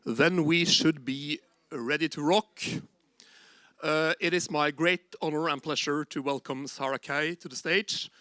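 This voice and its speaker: A man's voice: fairly high pitch, measured pace, sharp tone, dry recording. A man hosting an event, welcoming the next speaker to the stage. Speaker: event host. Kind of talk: introducing a speaker.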